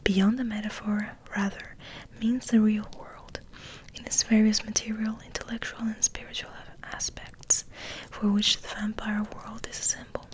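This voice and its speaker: A woman ASMR host speaking in a gentle, breathy whisper, hushed and unhurried, as if rambling softly to her listeners. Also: medium pitch, rough recording. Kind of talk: ASMR, soft rambling chat and reading aloud.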